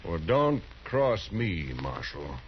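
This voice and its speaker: Smug, menacing saloon gambler. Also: male voice, low voice, sing-song, slow, heavily acted. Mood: threatening. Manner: smooth-talking, taunting, cold. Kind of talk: 1950s radio western acting. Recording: muffled, noisy vintage 1950s radio recording.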